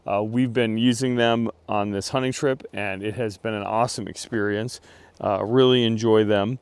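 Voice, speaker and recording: Brief: male product reviewer, casual and unscripted, thinking aloud with halting phrasing, dry recording free of room echo. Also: on the low side, fairly even intonation, clean tone. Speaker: gear reviewer.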